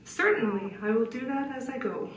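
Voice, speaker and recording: A woman's voice: on the high side, normal speed, natural voice. Woman as courteous merchant, roomy sound. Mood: obliging. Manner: polite, formal. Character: obliging, courteous male traveling merchant. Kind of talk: puppet show dialogue. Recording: roomy, distant mic, faint noise.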